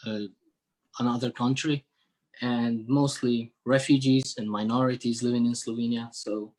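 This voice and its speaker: Syrian man answering in an interview. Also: mid-range voice. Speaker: interview guest, musician working with NGOs.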